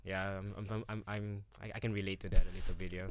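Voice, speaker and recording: A man's voice: sits low, flat delivery, a little fast, a little hiss or hum. A young man hosting a casual student radio show, chatty, laughing often and tripping over his words. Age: young adult. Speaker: radio host. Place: radio studio.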